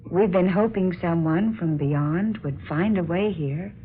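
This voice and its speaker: A woman's voice: lowish voice, measured pace, natural voice. A woman playing a gracious, earnest young princess in a radio drama, speaking with formal, courtly diction. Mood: calm, intrigued. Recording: muffled, noisy old-time radio recording.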